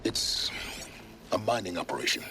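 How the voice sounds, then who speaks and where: An actor playing a secretive guide in a video game, giving clipped, dry, commanding instructions with urgency. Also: male voice, deep voice, expressive, normal speed, heavy background noise. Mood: terse urgency. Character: terse, secretive male guide urging urgency. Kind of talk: video game dramatic acting. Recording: loud game music, gunfire behind.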